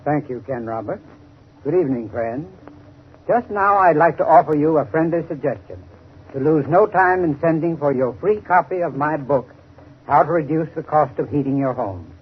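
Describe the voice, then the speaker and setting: A man's voice: fairly high pitch, expressive, normal speed, breathy edge, highs cut off. A man speaking as a sponsor's expert in a courteous, formal 1930s radio advertisement, on an old recording.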